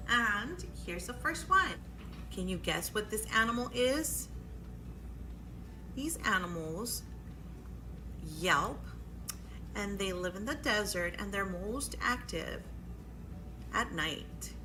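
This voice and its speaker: A woman's voice: medium pitch, expressive, slow, long pauses. A woman hosting a storytime for young children, encouraging and exclamatory, prompting her listeners with questions during songs and guessing games. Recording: echoey room, faint background noise.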